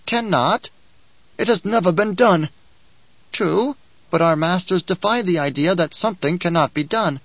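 A shrill, whining little male dwarf, full of spite and mockery, who, as the narrator puts him on, rides high above the reader's own voice. He sneers and coaxes in an audiobook read that sounds dry and dull. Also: moderate pace, fairly bright tone, no echo. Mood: taunting. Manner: whining, giggling, taunting. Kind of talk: audiobook character reading.

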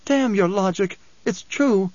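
An anguished young woman seer, played by a male narrator, pitched well up from a man's usual talk. The delivery is soft, strained and intense, swinging on an imperious edge, in a dry but slightly dull audiobook recording. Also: slow pace, no echo. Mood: angry, imperious.

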